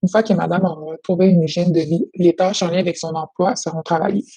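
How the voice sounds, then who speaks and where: A woman with a soft-edged, husky voice. She explains things in measured, formal phrases, like a clinician giving a case report. The sound is dry and close. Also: lowish voice, steady tone, dry recording. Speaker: occupational therapist. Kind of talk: professional phone consultation, prepared report.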